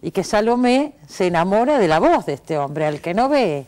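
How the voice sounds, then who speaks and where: An Argentine woman hosting a conversational interview, engaged and quick to interject agreement. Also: medium pitch, sing-song, a little mellow. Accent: Argentine (Rioplatense). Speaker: television interviewer and host.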